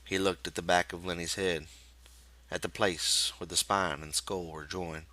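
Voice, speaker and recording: A man reading a novel aloud as dramatic, measured, suspenseful scripted narration. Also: low voice, fairly even intonation, sharp tone, dry recording. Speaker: audiobook reader.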